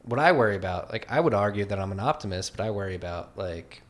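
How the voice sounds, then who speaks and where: A man's voice: on the low side, animated, quick pace. A man in his twenties hosting a podcast interview, talking loosely and rambling, with lots of "like". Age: 20s. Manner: loose, rambling, heavy use of "like". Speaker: podcast host. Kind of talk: casual conversational interview.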